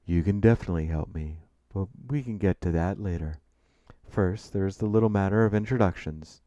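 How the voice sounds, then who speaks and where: Man playing a courtly, mysterious older gentleman, low-voiced. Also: no room sound, highs cut off, close to an everyday voice. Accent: refined New England. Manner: formal, deliberate, insinuating.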